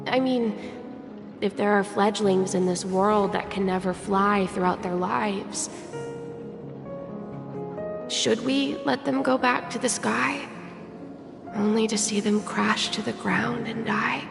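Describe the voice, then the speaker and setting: A woman's voice: medium pitch, slow, vocal fry, long pauses. A woman voicing a thoughtful, hesitant young boy in a game, earnest and solemn. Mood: troubled questioning. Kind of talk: scripted game voice acting. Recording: long hall reverb over music.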